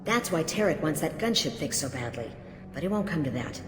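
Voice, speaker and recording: An actress playing a cynical, hard-bitten mercenary lieutenant in a video game, dry and matter-of-fact. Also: female voice, deep voice, very animated, brisk. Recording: roomy hall reverb, noisy ambience.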